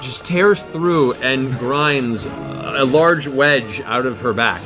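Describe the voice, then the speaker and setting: A man's voice: mid-range voice, takes it slowly. A man narrating a tabletop fantasy game as game master, with vivid descriptions and casual asides. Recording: loud music behind the voice.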